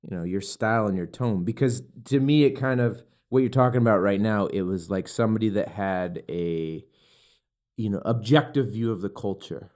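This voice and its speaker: A man's voice: lowish voice, normal speed, a little mellow. A man hosting a podcast, interviewing a guest and searching for his words as he asks. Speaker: podcast host.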